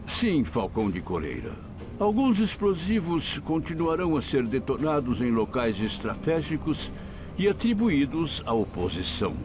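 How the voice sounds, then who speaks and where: Commanding coup-plotting general, swooping delivery. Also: male voice, fairly high pitch, sing-song, moderate pace, music in the background. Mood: cold, commanding. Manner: formal, declamatory, authoritative. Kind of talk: dramatic audio drama acting.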